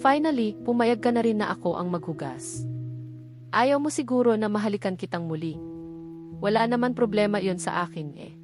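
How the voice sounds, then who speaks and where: A woman's voice: mid-range voice, animated, normal speed, lightly acted. A woman reading the part of a flirtatious, persistent man in love in a storybook, with a Filipino accent. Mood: teasing. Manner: teasing, coaxing. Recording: music behind the voice.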